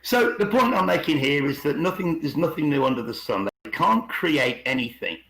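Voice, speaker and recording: Man in an echoey room, halting talk. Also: on the high side, animated, a bit bright. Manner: halting, repeats words, asks for agreement. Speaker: podcast host. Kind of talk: rambling conspiracy talk with reading aloud. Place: online video call. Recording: roomy, echoey video call.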